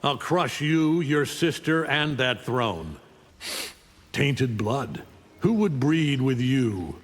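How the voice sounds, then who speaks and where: A man's voice: mid-range voice, sing-song, takes it slowly, in a large hall. A man voicing an arrogant, menacing alien conqueror in a video game, with a contemptuous, commanding manner. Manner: contemptuous, taunting, coldly commanding. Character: arrogant, menacing alien conqueror, a middle-aged superhuman father. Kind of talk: video game voice acting, fight intro taunts.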